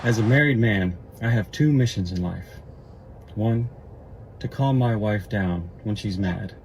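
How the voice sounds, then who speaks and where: A man delivering a comic one-liner in a deliberate, deadpan way. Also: lowish voice, slight background noise, rough recording.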